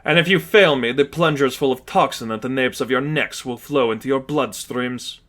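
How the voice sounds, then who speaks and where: A man voicing a cold, controlling male villain in an audiobook, speaking in a commanding, deliberate, threatening way. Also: mid-range voice, fairly bright tone, close to an everyday voice. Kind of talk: fan fiction audiobook character acting.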